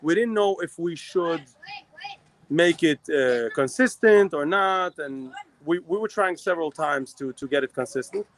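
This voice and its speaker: A man from Israel co-hosting a live talk show, talking fast in a rambling way with many restarts. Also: fairly high pitch, expressive. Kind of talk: casual live chat.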